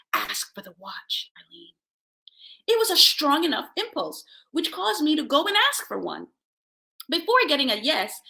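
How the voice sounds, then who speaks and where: A woman's voice: sits high, gravelly, bright, forceful tone. A woman hosting a self-help show, giving a motivational talk to viewers with personal stories, in an emphatic way that sometimes drops toward a whisper.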